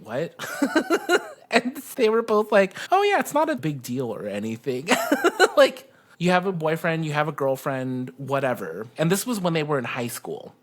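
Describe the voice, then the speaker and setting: A podcast co-host with a medium-pitched voice chats casually. The delivery is informal and giggly, with an easy storytelling flow of personal anecdotes. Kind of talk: casual chat.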